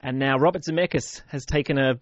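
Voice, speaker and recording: A man's voice: medium pitch, quick pace, dry recording, muffled recording. A man with an Australian accent reviewing films conversationally as a radio guest, thoughtful and a little hesitant. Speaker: film critic guest on radio. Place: radio studio. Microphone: close studio microphone.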